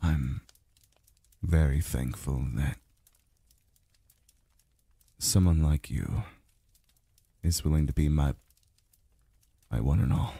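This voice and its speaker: A man playing a calm, devoted male lover in an intimate ASMR roleplay, murmuring softly and slowly, close to the mic. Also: dry recording, full character voice. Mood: loving, grateful. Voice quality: very deep, breathy, gravelly. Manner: slow, soft murmuring with sighs. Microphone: close mic, dry sound.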